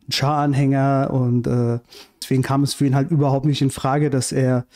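Halting man, dark mellow voice. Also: medium pitch, little pitch movement. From Iran. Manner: hesitant, many fillers and restarts. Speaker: podcast guest. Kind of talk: casual interview chat. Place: bright living room with large windows. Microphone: studio mic on boom arm, headphones.

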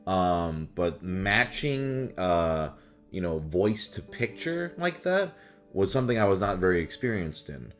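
Low-voiced young man chatting casually. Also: unhurried, faint music behind. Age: young adult. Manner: animated, slips into exaggerated character voices. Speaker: voice actor and video host. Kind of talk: casual chat to camera about voice roles. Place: small furnished room at home, indoors.

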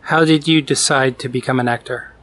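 A man's voice: medium pitch, fairly even intonation, fairly quick, a bit bright, no echo. A man interviewing from off camera, asking brief, direct questions.

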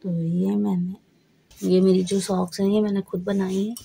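A woman vlogger who is hoarse from a cold, talking slowly and plainly to camera while trying on socks at home. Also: mid-range voice, level tone, mellow, a little hiss or hum. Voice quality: husky. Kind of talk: casual vlog talk to camera. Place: indoors at home, camera on the floor.

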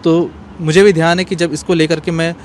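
A man in his thirties giving a serious, explanatory interview answer in short, measured phrases. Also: fairly high pitch, little pitch movement. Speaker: interview guest, cartoonist. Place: outdoors in an open courtyard. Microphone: handheld small microphone. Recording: faint outdoor street background noise.

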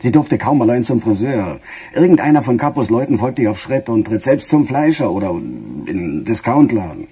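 An actor playing a weary, middle-aged police chief in a radio crime drama, musing in a husky, sardonic, halting way. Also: male voice, mid-range voice, sing-song, warm tone, heavily acted. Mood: musing, confiding. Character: weary, embattled male police chief, brooding and gruff. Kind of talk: naturalistic German radio crime drama acting.

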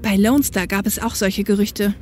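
A woman's voice: medium pitch, fairly even intonation, moderate pace, darkish tone, a little hiss or hum, lightly acted. A woman playing a modest, down-to-earth young policewoman in a German fan audio drama, a little awkward in manner. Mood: thoughtful.

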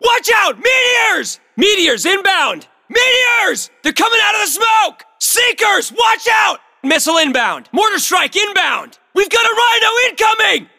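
An actor playing a tough squad soldier in a military shooter game, giving urgent, clipped battlefield callouts. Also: male voice, very high voice, sing-song, unhurried, few pauses, pushed and bright, raised voice, long reverb tail, exaggerated voice. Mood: urgent shouting. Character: tough male soldier on a squad fighting aliens.

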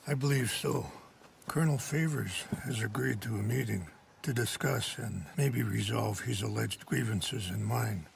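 An actor playing a dignified, weary older chief seeking peace in a video game drama, measured and courteous. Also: male voice, on the low side, gravelly, a little hiss or hum. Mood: earnest, weary.

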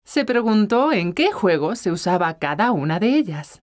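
A woman voicing the gentle storyteller of a children's cartoon, narrating with a Latin American Spanish accent. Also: medium pitch, sing-song, moderate pace, lightly acted. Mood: gentle, storytelling. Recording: music behind the voice.

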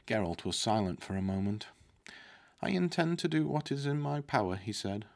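A man's voice: on the low side, animated, moderate pace, creaky. A man in his thirties reading a fantasy novel aloud, now and then stumbling on a word and correcting himself. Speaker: book reader. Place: indoor room with curtains, at a desk. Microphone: desktop microphone close in front.

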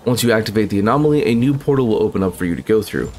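A man narrating a video game walkthrough in a brisk, matter-of-fact, instructive way. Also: on the low side, expressive, darkish tone. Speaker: gaming channel host.

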